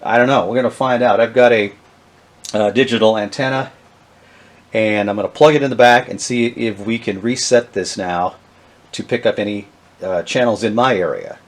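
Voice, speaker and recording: A middle-aged man, talking casually and haltingly with many fillers as he shows off old electronics. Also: fairly low pitch. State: has a cold, sniffly. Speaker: vintage electronics video host. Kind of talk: casual show-and-tell narration.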